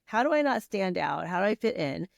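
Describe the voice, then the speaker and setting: A woman's voice: medium pitch, very animated, fairly quick, vocal fry, no echo, studio quality. A middle-aged woman from Vietnam, guest on a podcast, talking casually with many fillers, restarts and laughs. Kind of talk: casual conversational interview.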